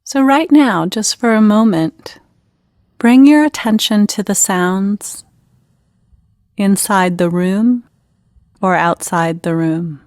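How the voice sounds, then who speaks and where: A woman leading a guided meditation in an unhurried, gentle, instructional manner with pauses between phrases. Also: medium pitch, no room sound, studio quality.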